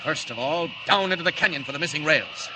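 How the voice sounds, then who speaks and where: An actor playing a heroic superhero disguised as a reporter in a dramatic, exclamatory 1940s radio style. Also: male voice, fairly high pitch, expressive, fast talker, natural voice. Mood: jaunty, determined. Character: heroic, confident male superhero posing as reporter. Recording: very noisy old radio broadcast recording.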